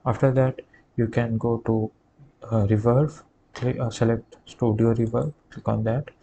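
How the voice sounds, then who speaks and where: A man giving a halting, hesitant step-by-step software tutorial over a screen recording. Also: mid-range voice, steady tone, muffled recording. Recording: clear background noise.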